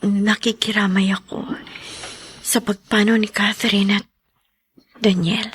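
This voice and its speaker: An actress playing a gentle estranged wife who forgives her husband in a radio drama. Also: mid-range voice, level tone, measured pace, slightly rough. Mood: surprised. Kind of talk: Filipino radio drama acting.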